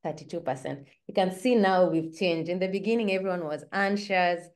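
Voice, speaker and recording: A woman from Kenya, hosting an online webinar in a warm, chatty manner over a video call. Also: on the low side, brisk. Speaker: webinar host.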